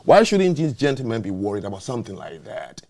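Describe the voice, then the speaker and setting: A man's voice: fairly low pitch, sing-song, a little fast, creaky, darkish tone. A man hosting a talk show, making his case in an emphatic, lecturing way. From not born in the US, naturalized citizen. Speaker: show host. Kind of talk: opinionated talk show discussion.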